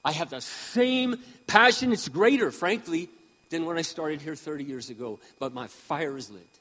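A man around sixty preaching a sermon with impassioned emphasis, swinging between loud peaks and quiet asides. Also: a little high, animated, moderate pace, long reverb tail. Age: 60s. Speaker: pastor.

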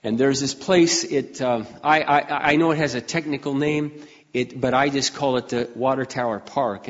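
An older man preaching a folksy, conversational sermon as pastor, often clearing his throat and restarting his sentences. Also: mid-range voice, darkish tone. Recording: dull, muffled sound with long hall reverb.

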